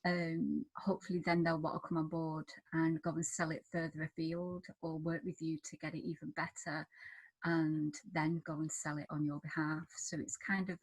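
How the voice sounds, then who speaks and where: A woman speaks informally as a writer on a panel, offering practical advice. Her voice is a little low and slightly rough, with a breathy, husky edge. She is encouraging and chatty. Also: flat delivery, moderate pace, poor audio. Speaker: television writer giving advice.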